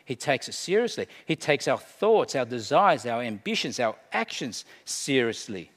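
Animated pastor in a reverberant hall. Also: male voice, medium pitch, normal speed. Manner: emphatic storyteller, swinging from hushed to loud. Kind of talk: sermon.

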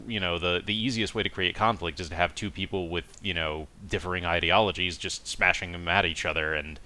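A man's voice: deep voice, bright, forceful tone. A man co-hosting a casual podcast discussion, explaining his opinions in long, qualified sentences. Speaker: podcast co-host reviewing anime.

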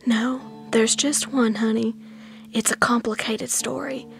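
A protective, calm aunt in a dramatized audiobook. Her whisper is hushed and crackles with fry as she gently guides a child. Soft music plays behind her. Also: on the high side, unhurried. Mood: reverent, hushed. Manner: hushed whispering with folksy endearments.

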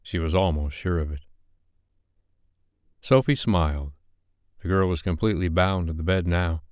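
A man reading a romance novel as an audiobook narrator, with measured, deliberate phrasing. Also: low voice, clean tone, no echo, studio quality.

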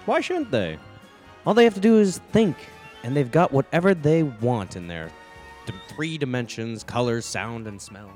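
A practical husband and father acted by a man in a radio drama. He is dismissive and placating, with a very animated, swinging intonation over faint music. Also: mid-range voice, moderate pace, natural voice. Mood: breezy. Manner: brusque, placating. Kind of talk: radio play acting.

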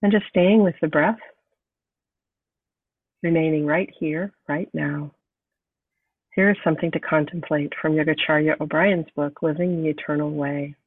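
Woman podcast host, stuffy nasal voice from a cold, calm and slow, tinny phone-like sound from far off the mic. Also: low voice, slightly rough, no echo. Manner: calm, unhurried guidance. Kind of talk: podcast interview and guided meditation.

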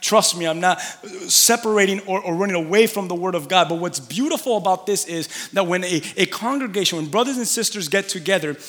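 A male pastor with a high, bright voice preaches in a fervent rhythm. He repeats words as he builds and calls for answers from the congregation. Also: fairly quick, hardly any pauses. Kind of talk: sermon with call for testimonies.

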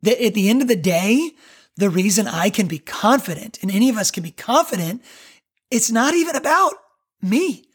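A man, a preacher, talking earnestly about faith on a podcast, with a raspy voice and many fillers. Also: high voice, lively intonation, moderate pace. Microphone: podcast microphone.

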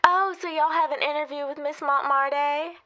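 A woman's voice: sits high, steady tone, normal speed, bright, forceful tone, dull audio. An actress playing a chatty, nosy and friendly receptionist who calls callers honey and girl, in a comedy sketch.

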